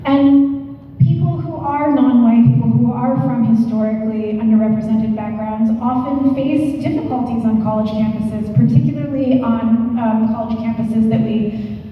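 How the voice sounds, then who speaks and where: A woman guest lecturer presenting a slide talk in a measured, explanatory way, pausing with fillers and restarting phrases. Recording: echoey hall, distant and dull, faint rumble.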